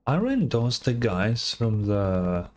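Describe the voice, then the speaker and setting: A man's voice: lowish voice, big pitch swings, takes it slowly, a little mellow. A man giving casual game reaction commentary in short, laid-back muttered remarks with some swearing.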